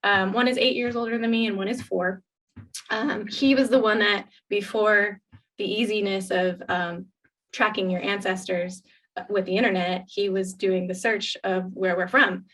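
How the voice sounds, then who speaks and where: A woman co-host in her thirties with a bright, forward voice, chatting openly. She talks in loose, casual sentences full of small pauses and restarts. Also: medium pitch, steady tone, low-quality recording. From United States. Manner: candid, many ums and restarts. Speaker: podcast co-host. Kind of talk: casual chat.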